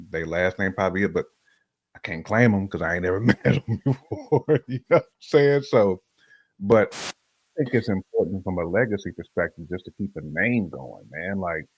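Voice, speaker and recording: Very deep-voiced man chatting casually. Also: moderate pace, no room sound, poor audio. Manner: informal, colloquial, laughs while talking. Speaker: talk show panelist. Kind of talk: casual opinionated chat.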